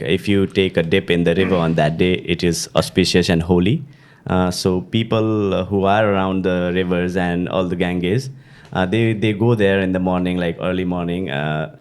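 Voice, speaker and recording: A young man from Nepal, a graduate student, talking in a relaxed way with many fillers. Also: low-pitched, clean tone. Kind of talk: casual interview.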